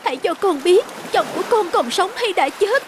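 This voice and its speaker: A woman playing a devoted, anxious young fisherman's wife in an animated folktale, pleading and respectful, with emotion. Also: very high voice, normal speed, background noise.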